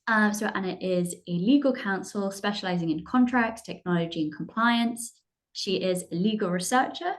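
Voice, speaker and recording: A woman hosts an online conference and introduces the next speaker. She talks in a friendly, slightly halting way with a few fillers, and her voice sits mid-range for a woman. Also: medium pitch. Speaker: conference host.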